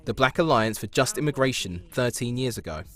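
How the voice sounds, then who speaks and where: Male news reporter, measured narration. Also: medium pitch, expressive, heavy background noise. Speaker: reporter, interviewer. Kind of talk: news feature narration and interview.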